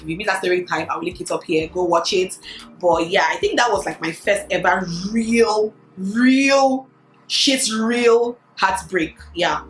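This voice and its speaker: A woman's voice: medium pitch, a bit bright. A woman in her thirties with a Nigerian accent, chatting casually to her viewers, often repeating words and laughing. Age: 30s. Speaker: vlogger. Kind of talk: casual chat answering a tag. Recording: echoey room with faint music.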